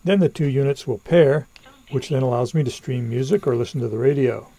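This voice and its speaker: A man's voice: mid-range voice, brisk, mellow. A man presenting a scripted product review as a voice-over narration.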